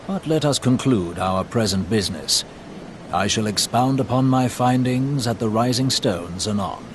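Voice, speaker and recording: An actor playing an earnest, courteous scholar in a fantasy video game, speaking formally in measured, archaic phrases. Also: male voice, medium pitch, animated, natural voice. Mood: grave, thoughtful. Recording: faint ambient noise.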